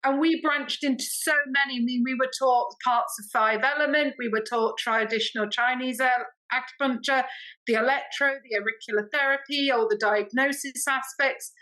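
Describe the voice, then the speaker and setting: A woman with an English accent, a podcast guest telling stories in a chatty, expressive way and acting out quoted dialogue. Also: sits high, normal speed, rough recording. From Britain. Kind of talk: animated storytelling in casual interview.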